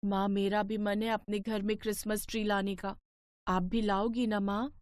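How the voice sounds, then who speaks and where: A woman voicing an eager, sweet little schoolgirl in a cartoon story, pleading and excitable. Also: mid-range voice, normal speed, dry recording, clean studio sound, close to an everyday voice.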